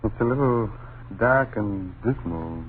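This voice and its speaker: Gruff American husband, tinny old radio. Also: male voice, lowish voice, lively intonation, a little hiss or hum. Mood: exasperated. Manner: gruff, slangy, drawn-out exasperated reassurance. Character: sleepy, dismissive husband and father in a spooky old house. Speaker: radio drama actor. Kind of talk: old-time radio suspense acting.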